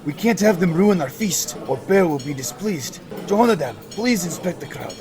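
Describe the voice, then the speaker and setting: A man's voice: high voice, animated, moderate pace, crowd noise. A man voicing a ruthless, scheming, proud king who speaks in a commanding, declamatory way in an audio drama. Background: music.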